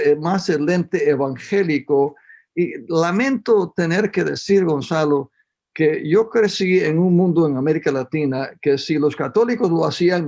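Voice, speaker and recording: A man from Costa Rica talks as a panel guest with a gravelly, somewhat high voice. He reminisces slowly in a reflective discussion and often restarts his phrases. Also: darkish tone.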